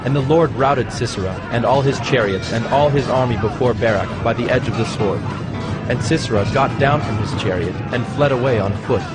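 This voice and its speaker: A man narrating a dramatized Bible reading in a measured, solemn storytelling manner. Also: medium pitch, poor audio. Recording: music behind the narration.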